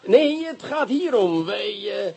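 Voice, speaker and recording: An actor playing an impatient, sarcastic middle-aged police commissioner in a radio play. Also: male voice, high voice, big pitch swings, takes it slowly, breathy edge, exaggerated voice. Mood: flustered, apologetic. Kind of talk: radio drama acting.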